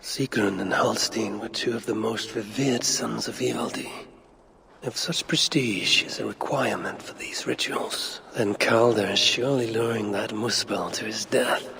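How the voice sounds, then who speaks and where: An actor playing a shrewd, gruff Norse god in a game cutscene, speaking in a deliberate, sardonic, investigative manner. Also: male voice, lowish voice, fairly even intonation, crowd noise. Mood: brooding. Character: shrewd Norse god searching for his son, gruff and resolute. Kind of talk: dramatic game cutscene acting.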